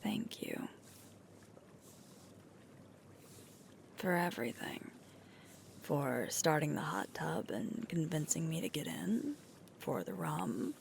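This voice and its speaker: A woman playing a sweet, tired alpha werewolf girlfriend in an intimate roleplay ASMR, murmuring softly and breathily close to the microphone. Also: low-pitched, sing-song, full character voice. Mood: affectionate, relaxed. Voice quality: breathy, creaky, often whispering. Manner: slow, soft, unhurried intimate murmur with pauses.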